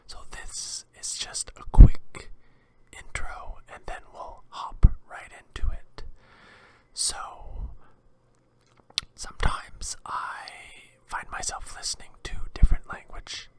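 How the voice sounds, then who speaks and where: An ASMR host from Quebec with a Canadian accent, rambling in a halting, hesitant whisper. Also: darkish tone.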